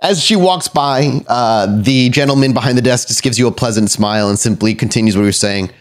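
A man's voice: mid-range voice, fairly quick. A man narrating a tabletop horror game as storyteller, describing scenes in detail.

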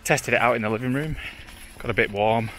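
A man's voice: medium pitch, fairly quick, faint music behind. A man, casually reviewing outdoor gear while sitting outdoors in a wood, talking to a camera on a tripod. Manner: chatty, relaxed, light joking asides. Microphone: camera on a tripod, also handheld selfie while walking.

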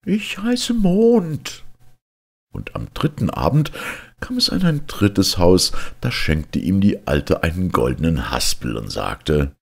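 Deep gravelly male fairy-tale storyteller. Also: big pitch swings, a little slow. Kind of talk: dramatic fairy-tale narration.

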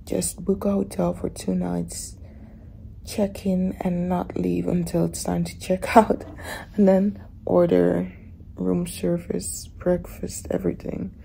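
A woman vlogging casually from a hotel room in the morning, her voice raspy and often dropping to a whisper. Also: on the low side, level tone, slow pace, slight background noise. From Netherlands. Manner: soft, often whispering in the dark.

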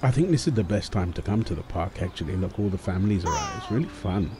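A man's voice: sits low, lively intonation, quick pace. A man playing a doting young husband and new father, tender and playful, in an ASMR boyfriend roleplay. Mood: easygoing, caring.

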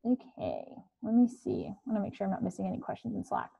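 A woman's voice: mid-range voice, wildly swooping pitch, fast talker, gravelly, mellow, husky, poor audio. A young woman coaching students on a video call from her living room, chatty with many fillers. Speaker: career coach. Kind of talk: casual online presentation. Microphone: earbud headset on video call.

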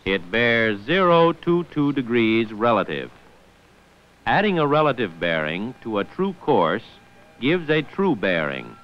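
A man's voice: mid-range voice, sing-song, unhurried. A man narrating a scripted 1940s instructional film in a formal, precise manner, on an old muffled film soundtrack. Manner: formal, precise enunciation of figures.

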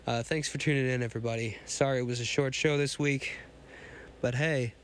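A hoarse man with a cold, hosting a podcast and reading a pulp science fiction story aloud, often stumbling and restarting lines. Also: medium pitch, fairly even intonation, fairly quick, slight background noise.

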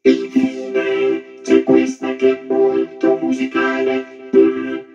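A man's voice: extremely slow, dark tone, faint music behind. A man demonstrating synthesizer presets, his voice run through a vocoder that makes it robotic and musical. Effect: vocoder, changing presets, robotic and musical. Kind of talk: product demo of vocoder presets. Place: indoor room with synth gear. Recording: dull lo-fi, synth tones throughout.

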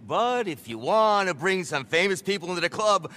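An actor playing a vain, frazzled middle-aged nightclub impresario in a video game, theatrical and foul-mouthed. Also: male voice, high voice, lively intonation, normal speed, bright, forceful tone. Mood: ranting, grandiose. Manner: flamboyant, theatrical, profane. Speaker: nightclub owner. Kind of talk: video game cutscene acting.

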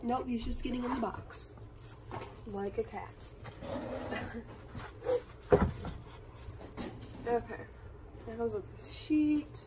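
A young woman with a hoarse, raspy voice from a cold, pitched a little high, chatting casually and a bit apologetically. She sits back from a phone filming from above, so her voice lands slightly distant over a clear room hiss. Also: slow pace, long pauses. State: hoarse from being sick. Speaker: vlogger. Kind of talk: casual unboxing chat. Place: carpeted bedroom floor. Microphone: phone camera filming from above.

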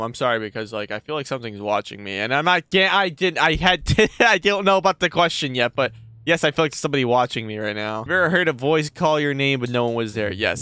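A man's voice: mid-range voice, sharp tone, clear, resonant tone, dry recording. A young man giving casual, sweary, slangy reactions to a horror game, jumpy and exclaiming, on a close desk mic. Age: young adult. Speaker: gaming video host. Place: small indoor gaming room. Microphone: close desk microphone, headset on.

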